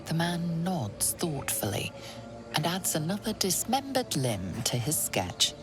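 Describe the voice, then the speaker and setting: A woman narrating a fantasy video game as a measured, dramatic storyteller. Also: deep voice, very animated, music in the background. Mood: measured, wry. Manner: deliberate, dramatic.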